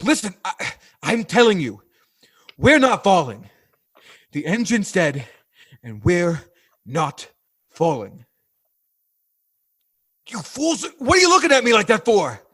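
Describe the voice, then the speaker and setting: Casting: man as agitated radio-play pilot, fairly high voice, slow emphatic delivery, widely swinging inflection, exasperated and commanding. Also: wildly swooping pitch, fairly bright tone, husky, exaggerated voice. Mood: frantic, insistent. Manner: emphatic, halting, insistent. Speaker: voice actor. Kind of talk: 1940s radio drama acting.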